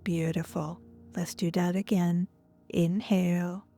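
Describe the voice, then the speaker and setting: Slow, mellow woman guiding gentle relaxation. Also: lowish voice, level tone, slight background noise. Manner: gentle, soothing, often trailing into breathy near-whisper. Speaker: yoga nidra instructor. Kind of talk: guided relaxation and breathwork instruction. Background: soft music.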